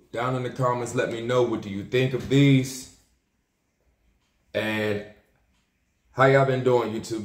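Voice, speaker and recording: A man's voice: mid-range voice, darkish tone. An American man in his thirties chatting casually through an unboxing vlog, laid-back and slangy, repeating his phrases. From Maryland, United States. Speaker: YouTube sneaker unboxer. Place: small indoor room with plain wall. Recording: roomy, echoing indoor sound.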